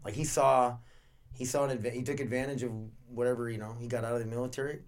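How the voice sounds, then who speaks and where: A man's voice: mid-range voice, steady tone, quick pace. A man hosting a casual podcast, rambling and swearing easily as he talks. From North Dakota. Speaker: podcast host.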